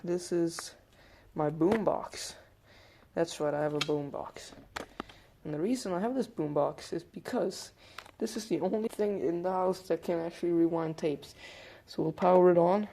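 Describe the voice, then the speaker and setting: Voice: medium pitch, moderate pace, vocal fry, dark tone, slight background noise. A hobbyist presenter giving a casual hands-on demonstration, explaining things informally step by step.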